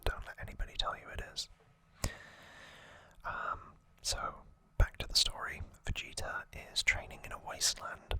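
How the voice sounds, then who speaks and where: Casting: ASMR host, breathy whisper throughout, crisp bright hiss, gentle informal storytelling. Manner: gentle, unhurried, informal recapping.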